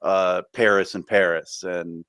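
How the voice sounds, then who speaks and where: A man's voice: low voice, little pitch movement, a little slow, a bit bright, clear, resonant tone, no echo, studio quality. A man co-hosting a podcast in casual chat, rambling with many fillers and restarts. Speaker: podcast co-host.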